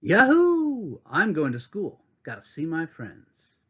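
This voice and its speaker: A man reads a picture book aloud to young students in a lively, sing-song way, his voice riding high and swooping widely. He breaks off to talk to the class as their teacher. The sound is a little dull and lo-fi, like a webcam at a desk. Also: slow pace, breathy edge. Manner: expressive storybook reading with teacherly asides. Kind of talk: read-aloud lesson for children.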